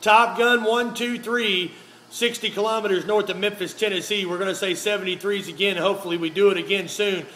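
A man's voice: high voice, fairly even intonation, brisk, sharp tone. A man from the United States, chatting casually and folksily over two-way radio with repeated phrases and jargon. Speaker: amateur radio operator. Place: indoor radio desk, filmed up close. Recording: noisy room beside radio set.

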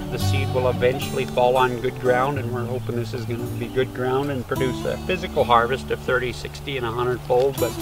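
A man in his thirties explaining things casually and haltingly, like a farmer talking to camera out in an open field. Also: mid-range voice. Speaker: farmer and vlogger. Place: outdoors in an open farm field.

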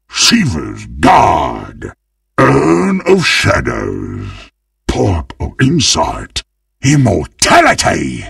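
A man voicing a greedy, gleeful, monstrous undead butcher in a video game, with a gravelly, husky British-accented voice and a menacing, theatrical manner. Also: medium pitch, a bit bright, dry recording, studio quality, far from the mic, heavily acted. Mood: excited, triumphant. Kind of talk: video game character voice lines.

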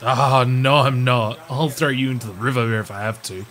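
A man's voice: medium pitch, fairly even intonation, normal speed, a bit bright, soft background music, close to an everyday voice. A man playing a scrappy, earnest young brother in a roleplay audio, a little awkward and hesitant. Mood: earnest, indignant. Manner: hesitant, repeating himself.

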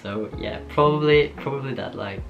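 A young man from Norway with a Norwegian accent, chatting casually to camera in a small home studio, laughing often and pausing with ums. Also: mid-range voice, creaky, narrow, tinny audio. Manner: relaxed, giggly, lots of ums and restarts. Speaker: vlogger. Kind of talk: casual get-to-know-me chat. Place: small bedroom studio with shelves and posters. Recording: soft guitar music underneath.

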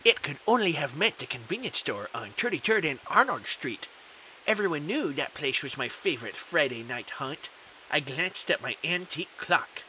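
A man's voice: a little high, big pitch swings, moderate pace, loud music behind the voice. A man playing a bewildered computer technician who narrates his own mystery audio drama with earnest, melodramatic emphasis. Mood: dramatic, intrigued. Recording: dull, hissy audio.

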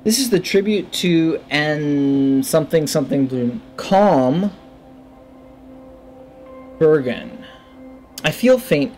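A man in his thirties giving casual game commentary into a headset, thinking aloud in short, low-key remarks. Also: a little mellow. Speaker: gamer, let's play host. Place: indoor room at a gaming setup.

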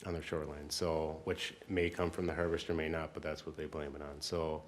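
A man speaking as a city staff member, explaining things at a council meeting in an informal, halting way. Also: very deep voice, level tone, slight background noise.

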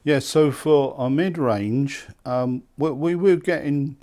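A man in his seventies with an English accent, reminiscing conversationally in an interview, halting and repeating words. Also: medium pitch, dark tone. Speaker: loudspeaker maker being interviewed. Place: small indoor hi-fi demonstration room. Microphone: lapel mic.

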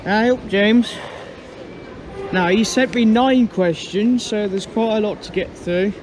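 A young man from England answering viewer questions in a casual vlog, talking in halting, restarting phrases. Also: sits high. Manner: halting, restarts phrases, enthusiastic about trains. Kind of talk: casual Q&A narration. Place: on a train and at stations. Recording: loud train and vehicle noise behind.

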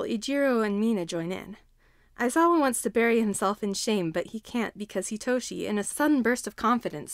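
A woman's voice: a little high, no echo, studio quality. A woman reading a scripted story aloud as narrator.

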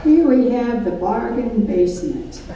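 A woman's voice: a little high, expressive, a little slow, muffled recording, a crowd in the background. An older woman leading a church Bible study, folksy and conversational, laughing at her own stories, speaking into a handheld microphone on a stage. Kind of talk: Bible study teaching with anecdotes. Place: church hall stage with projector screen. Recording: echoey hall, from far off.

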